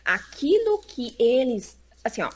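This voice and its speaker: A Brazilian career consultant speaks on a video call, chatty and full of fillers. Her pitch sits a little high and swings widely as she talks. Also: a little slow, a little hiss or hum. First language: Portuguese. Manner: chatty, lively, lots of fillers like "então, assim". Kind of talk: casual live interview.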